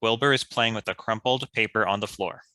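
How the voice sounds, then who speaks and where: A man's voice: fairly low pitch, brisk, pushed and bright, no room sound. A man reading stage directions as scripted narration for a table read.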